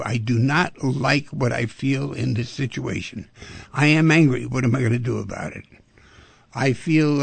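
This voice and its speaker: A man hosting a talk radio show, talking in an animated way and asking rhetorical questions. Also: mid-range voice, vocal fry, husky, dry recording.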